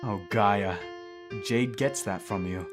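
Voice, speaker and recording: A man's voice: fairly low pitch, takes it slowly. A man playing a smug, teasing immortal thousands of years old in an audio drama, with a sardonic, needling manner. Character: teasing three-thousand-year-old dream maker, smug male immortal. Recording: voice under plucked guitar music.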